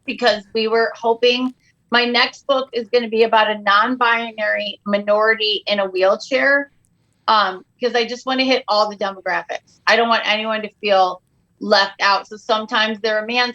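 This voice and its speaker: A middle-aged woman joking her way through a podcast chat with big, exaggerated flair. Her voice is bright and a touch high, with a sharp ring to it. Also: muffled recording. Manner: animated, jokey, exaggerated. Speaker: comedian guest. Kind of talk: comedic podcast banter.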